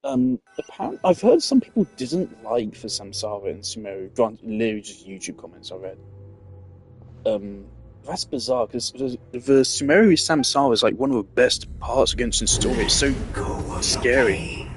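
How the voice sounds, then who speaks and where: A man's voice: medium pitch, expressive, normal speed. A man giving casual, rambling game commentary, musing hesitantly and trailing off. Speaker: game streamer. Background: game music.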